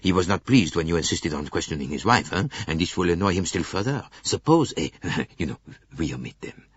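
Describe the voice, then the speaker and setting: Man playing a fretful low-voiced French railway official. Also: big pitch swings, brisk, breathy edge, no echo, dull audio, full character voice. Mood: fretful, reluctant. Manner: grumbling, hesitant, tag questions. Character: fretful, deferential male railway official, anxious to avoid trouble.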